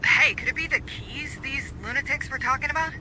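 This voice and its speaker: A self-possessed technical administrator in a sci-fi game, speaking in a high, very bright voice. The delivery is brisk and matter-of-fact with a slightly wry touch, over faint noise. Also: low-quality recording. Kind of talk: video game dialogue.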